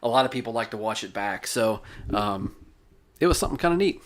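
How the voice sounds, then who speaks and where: A man's voice: fairly low pitch, animated, brisk, fairly bright tone. A man chatting casually as a co-host, with a joking, teasing way of talking. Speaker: podcast co-host. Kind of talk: casual chat.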